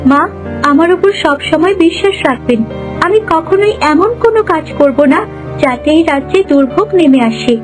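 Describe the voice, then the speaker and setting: A woman voicing a sweet, kind-hearted young princess, earnest and expressive, in a cartoon fairytale. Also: high voice, normal speed. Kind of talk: dramatic cartoon story dialogue. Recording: music behind the voice.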